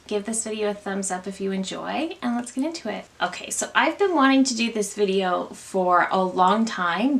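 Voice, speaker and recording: A young woman talks through her makeup routine in a relaxed, candid way, giving frank opinions on each product. Her voice has a noticeable gravelly fry, and she sounds a little congested from allergies. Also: mid-range voice. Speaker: beauty vlogger. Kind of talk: casual makeup tutorial chat.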